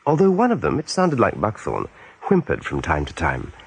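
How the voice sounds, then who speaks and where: A man's voice: lowish voice, wildly swooping pitch, normal speed, slightly rough, dry recording. A man narrating a radio play story expressively and with suspense.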